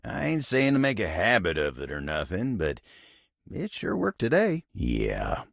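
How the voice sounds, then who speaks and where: A deep, gravel-throated Southern American drawl that rises and dips with feeling, recorded close and dry. a gruff but bashful working fellow, a living piece of sturdy furniture in a video game. He is folksy, courteous and dependable, and talks plainly. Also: huge pitch swings, unhurried, a bit bright, dry recording, heavily acted. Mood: bashful, earnest. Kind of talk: video game character acting.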